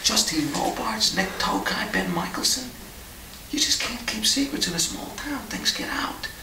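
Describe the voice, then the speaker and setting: A man acting in an audio drama as an uneasy small-town veteran, confiding in a halting, hushed way. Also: high-pitched, huge pitch swings, whispered, exaggerated voice. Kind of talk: naturalistic radio drama acting. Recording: hissy, roomy, distant tape.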